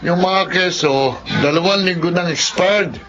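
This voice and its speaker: A man's voice: sits high, measured pace. A man playing a sly, shameless market vendor with a Filipino accent in a radio drama. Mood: brazen, sly. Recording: muffled radio drama, noisy market ambience.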